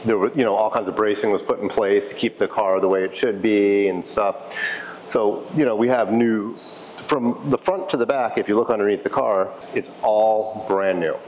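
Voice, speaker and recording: A man speaking as a restoration technician in a casual interview, explaining in a halting, conversational way and repeating phrases. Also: lowish voice, dull audio. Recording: clear steady background noise.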